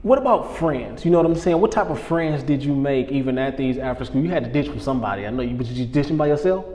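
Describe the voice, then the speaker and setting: A man hosting a casual podcast interview, hyped and slangy, calling out to his listeners and backing his guest with steady mm-hmms. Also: medium pitch, fast talker. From Los Angeles. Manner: hyped, slangy, lots of listener call-outs and backchannel mm-hmms. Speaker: podcast host. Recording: long room reverb, faint background noise.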